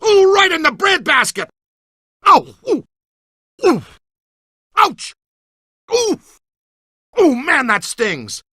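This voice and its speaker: Excitable, bright-voiced ghost hunter guy. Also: very high-pitched, slow, long pauses, no room sound, studio quality, exaggerated voice. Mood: pained, startled. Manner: enthusiastic, slangy, folksy team-leader talk. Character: eager, excitable middle-aged male ghost hunter mentoring a rookie. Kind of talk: video game voice acting.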